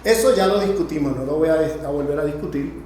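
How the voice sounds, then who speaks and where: A man's voice: on the high side, fairly quick, darkish tone. A man giving an improvised academic lecture, emphatic and didactic, checking in with short tag questions. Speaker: lecturer teaching a class. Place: indoor lecture room. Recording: very echoey room, faint noise.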